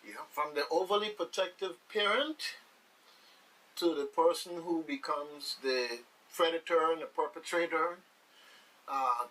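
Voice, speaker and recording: Bright-voiced man hosting radio talk. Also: on the high side, recorded from a distance, slight background noise, low-quality recording. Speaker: radio talk show host. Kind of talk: radio panel discussion.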